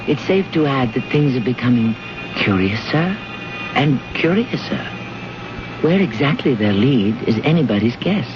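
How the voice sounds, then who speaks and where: A man hosting a vintage radio drama with theatrical scripted narration, literary, deliberate and wry. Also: medium pitch. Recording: noisy, dull old radio broadcast recording.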